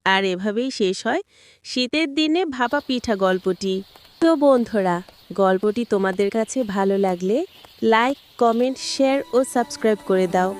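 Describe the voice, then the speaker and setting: Fairly high-voiced woman storyteller. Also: a little slow. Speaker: narrator. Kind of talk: scripted storytelling for a children's cartoon.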